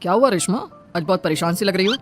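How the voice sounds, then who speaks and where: A woman's voice: on the low side, animated, fast, faint music behind, lightly acted. A woman voicing a concerned, questioning husband and grown son in a cartoon story. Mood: puzzled.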